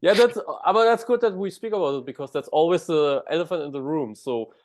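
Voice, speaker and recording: A man's voice: a little high, fairly quick, a little mellow. A man from Germany with a German accent, a guest in an interview, explaining things step by step and often restarting words.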